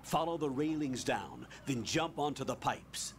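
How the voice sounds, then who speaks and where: Brief: a stern game commander barking curt orders. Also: male voice, mid-range voice, sing-song, measured pace, slight background noise. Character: stern male military commander on radio.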